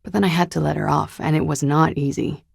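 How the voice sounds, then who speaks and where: An actress playing a weary, guarded young woman on the run in a video game, speaking in a hesitant, subdued, confessional way with a breathy, gravelly voice. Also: fairly even intonation, brisk, no echo, clean studio sound, exaggerated voice. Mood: wary, confiding. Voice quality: low, breathy, husky and gravelly. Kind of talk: video game character dialogue, intimate and understated.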